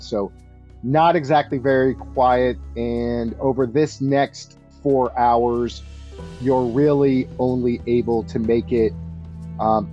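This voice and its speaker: A man running a tabletop game as game master, narrating casually in a halting, think-as-he-goes way. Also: medium pitch, mellow. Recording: dull lo-fi audio, music underneath. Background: background music.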